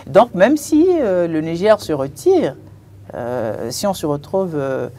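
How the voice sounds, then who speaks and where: Hesitant panelist with a mellow mid-range voice. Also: mid-range voice, big pitch swings, takes it slowly, a little hiss or hum. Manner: hesitant, many fillers and restarts. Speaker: panelist on a political talk show. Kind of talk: TV panel debate commentary.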